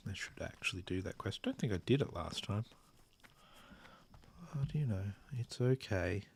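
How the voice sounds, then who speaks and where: A man giving relaxed, rambling, self-deprecating commentary while he plays a game. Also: on the low side, normal speed, creaky, a little hiss or hum. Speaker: gameplay streamer. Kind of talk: casual gameplay commentary.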